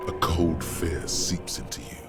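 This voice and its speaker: A man voicing the ominous inner voice of a detective's psyche, narrating slowly in a video game. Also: rumbling low, creaky, heavily acted. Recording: music playing under the voice.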